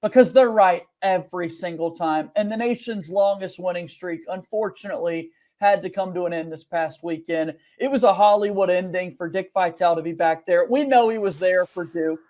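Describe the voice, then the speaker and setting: High voiced guy breaking down games on a sports talk show, casual. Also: moderate pace, few pauses, darkish tone.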